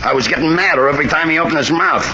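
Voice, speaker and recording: A man's voice: a little high, rapid-fire, bright, forceful tone, muffled recording. An actor playing a dim, loyal young boxer with slangy tough-guy talk, in a 1940s radio drama. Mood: troubled. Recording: noisy old radio broadcast.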